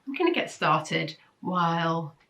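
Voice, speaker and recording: A woman's voice: low voice, animated, a little slow, vocal fry, fairly bright tone. A British woman hosting a casual review vlog, chatty and enthusiastic, with a nasal, congested voice from a blocked nose and flu. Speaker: host of a weekly sewing pattern roundup. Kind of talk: casual chat review to camera.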